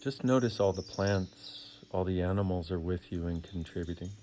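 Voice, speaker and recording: A man leading a guided meditation in a soothing, deliberate way, with short phrases and long pauses. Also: low-pitched, mellow, slight background noise.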